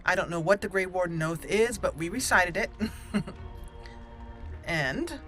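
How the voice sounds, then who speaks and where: A woman's voice: lowish voice, expressive, unhurried, fairly bright tone, faint music behind. A young woman casually commenting on her game as she plays, chatty and giggly, rambling with fillers. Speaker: let's play host.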